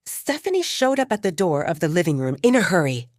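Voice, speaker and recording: A woman's voice: lowish voice, sing-song, a little fast, no echo, studio quality. A woman narrator telling a story in a dramatic audiobook style.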